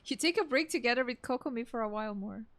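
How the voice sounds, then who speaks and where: A woman's voice: fairly high pitch, quick pace. A young woman gaming streamer giving casual, reactive let's play commentary in an informal way. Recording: game music behind voice. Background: video game music.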